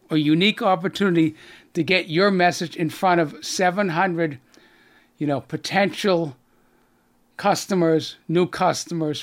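A man's voice: fairly high pitch, a bit bright. A middle-aged man with a Boston accent, a guest on a business radio show, talking earnestly with many restarts and fillers. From Boston area, USA. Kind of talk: radio interview.

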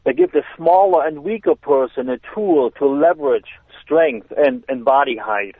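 A man's voice: mid-range voice, expressive, moderate pace, no room sound. A man with a German accent, a self-defense instructor, explaining in casual chat with hesitant restarts. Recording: tinny phone line.

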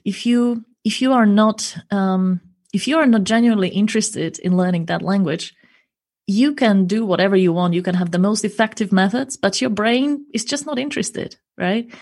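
Woman language coach talking it through earnestly in an interview, raspy fry in her voice. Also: mid-range voice, a little mellow. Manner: earnest, explanatory, tag questions. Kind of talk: answering interview questions.

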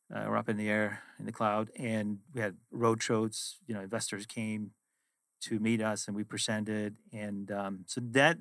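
A man's voice: lowish voice, a bit bright, dry recording. A middle-aged man from Sweden with a Swedish accent, Swedish being his first language, talking as an interview guest and telling reflective stories about his work. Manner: reflective, storytelling. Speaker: guest, finance executive. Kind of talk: casual interview chat.

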